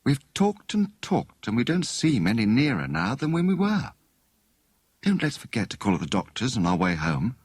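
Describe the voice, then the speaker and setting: A man voicing a thoughtful, inquisitive young woman amateur detective in an audiobook story, musing deliberately. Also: medium pitch, huge pitch swings, husky, dry recording, clean studio sound. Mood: pondering.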